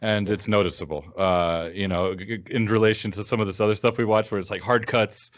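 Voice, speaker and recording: A man co-hosting a casual film podcast, piecing his thoughts together haltingly as he talks. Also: fairly low pitch, steady tone. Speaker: podcast co-host.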